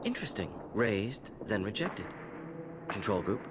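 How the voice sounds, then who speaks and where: Clipped, analytical alien scientist. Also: male voice, on the low side, sing-song, measured pace, very noisy. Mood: curious, analytical. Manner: clipped fragments, drops small words, musing. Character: analytical alien scientist, brisk, curious, detached. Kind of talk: video game acting.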